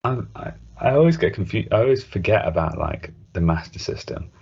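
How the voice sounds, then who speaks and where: A man's voice: low-pitched, big pitch swings, fairly quick, gravelly, a little mellow, husky. A man in his thirties chatting casually as a podcast co-host, in a loose, hesitant conversational way. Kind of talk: casual chat. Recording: dull lo-fi podcast audio.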